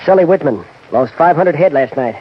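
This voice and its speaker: A man's voice: on the high side, lively intonation, brisk, slightly rough. An actor playing a firm, weary frontier marshal in an old radio western, a man with an American accent and clipped, authoritative speech. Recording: muffled, hissy vintage radio recording.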